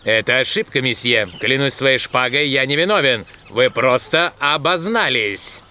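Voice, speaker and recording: A man's voice: medium pitch, animated, a little slow, bright, forceful tone. A man voicing a self-assured adult dog musketeer, gallant and theatrical, in a dubbed cartoon. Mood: firm.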